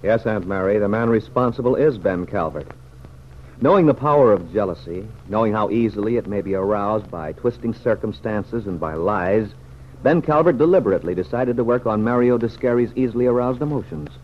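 A man's voice: fairly low pitch, expressive, brisk, a little hiss or hum. A man announcing a 1940s-style radio serial with formal, emphatic, dramatic narration. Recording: dull, humming vintage radio recording.

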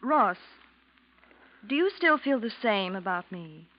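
A cool, scheming femme fatale in a 1940s radio drama. She speaks with controlled, pointed phrasing at a middling pitch. The recording is dull and vintage. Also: lively intonation, slow. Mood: needy, guarded.

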